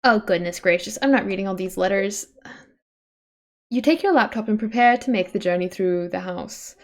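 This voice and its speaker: A woman's voice: medium pitch, normal speed. A woman reading a webcomic aloud on a livestream in an informal way, breaking off for casual asides and self-corrections.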